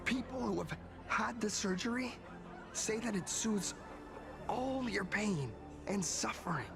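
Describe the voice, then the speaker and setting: A voice actor playing a nervous cult insider in a video game, stammering and halting. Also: mid-range voice, lively intonation, gravelly, music in the background. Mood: uneasy.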